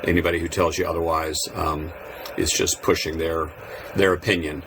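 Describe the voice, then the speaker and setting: Low-voiced man, casual and plainspoken. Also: little pitch movement, normal speed, a bit bright, slight background noise. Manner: plainspoken, self-deprecating. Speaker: Morse code hobbyist and video host. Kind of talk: casual explanatory talk.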